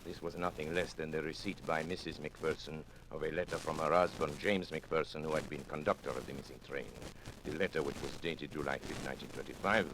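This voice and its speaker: Deep grave old-time radio narrator, formal and suspenseful, noisy 1940s recording. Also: male voice, fairly even intonation, fairly quick. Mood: measured, grave. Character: grave storyteller recounting a baffling mystery.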